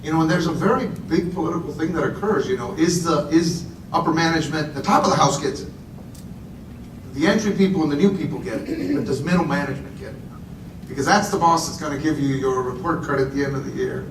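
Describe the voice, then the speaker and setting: A man who grew up in Puerto Rico gives a conversational conference talk to a live audience, often repeating words and making chatty asides. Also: fairly quick. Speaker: speaker. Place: conference room. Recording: roomy echo, voice from far off, faint rumble.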